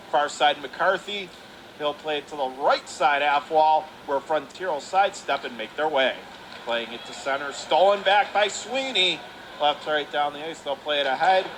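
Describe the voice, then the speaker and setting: A man calling live hockey play-by-play on radio in clipped running phrases with excited calls. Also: on the high side. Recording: noisy live rink recording.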